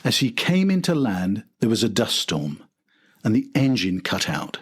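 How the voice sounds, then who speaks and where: Documentary narrator: older male, lower-pitched, husky edge, reading a prepared personal history script. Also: very animated, measured pace. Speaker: podcast narrator and host.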